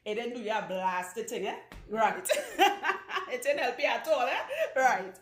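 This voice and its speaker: A woman putting on a Trinidadian accent, teasing loudly and laughing as she talks. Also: fairly high pitch, sing-song, unhurried, pitched above the performer's own voice. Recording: roomy indoor sound.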